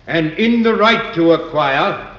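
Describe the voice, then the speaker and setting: An actor playing an impassioned senator, orating formally and emphatically in an old radio drama. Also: male voice, a little high, expressive, slow pace, fairly bright tone. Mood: impassioned, declamatory. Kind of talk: 1940s radio drama oratory. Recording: echoey hall, muffled old radio recording.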